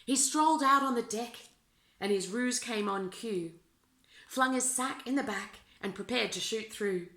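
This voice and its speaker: A woman reading rhyming children's verse aloud as an expressive storyteller. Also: fairly high pitch, unhurried. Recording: roomy, echoing indoor sound.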